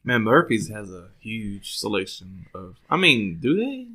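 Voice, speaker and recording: A man's voice: on the low side, big pitch swings, unhurried, bright, forceful tone. A man hosting a podcast in a casual, chatty style. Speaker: podcast host. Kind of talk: casual chat.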